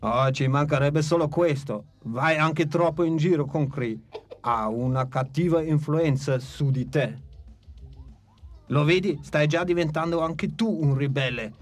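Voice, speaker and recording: An actor dubbing a stern, commanding male dinosaur father in a cartoon, gruff and scolding. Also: fairly high pitch, a little slow, faint music behind, natural voice.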